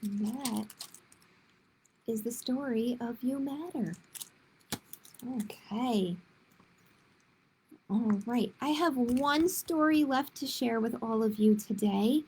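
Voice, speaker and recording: Slow, higher-pitched woman reading aloud. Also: a little mellow, slight background noise, poor audio. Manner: unhurried, simple read-aloud phrasing with casual asides. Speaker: children's librarian, storytime host. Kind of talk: reading picture books aloud to children.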